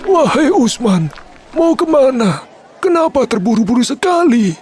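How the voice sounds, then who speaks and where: A woman voicing a kind, devout townsperson in an animated children's story. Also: sits high, a little slow, soft background music. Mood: curious.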